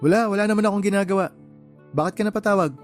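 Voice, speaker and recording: A man's voice: high-pitched, racing, soft background music, lightly acted. A man acting in a radio drama as a caring but easily exasperated uncle, speaking emphatically and with concern.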